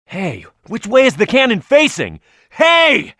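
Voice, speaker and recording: A man's voice: very high-pitched, huge pitch swings, measured pace, noisy background, heavily acted. A man voicing a tough, hot-headed echidna brawler in a video game, proud and blunt in manner. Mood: alarmed.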